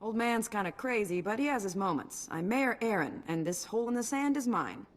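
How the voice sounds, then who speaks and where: An actress playing the blunt, harried mayor of a desert outpost in a video game, plainspoken and wry. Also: female voice, medium pitch, animated, brisk, lightly acted.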